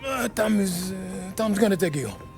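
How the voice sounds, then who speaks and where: An actor playing a middle-aged, gruff but kindly Italian father in a game, speaking halting English with an Italian accent. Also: high voice, expressive, soft background music. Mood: brisk, protective. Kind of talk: dramatic video game acting.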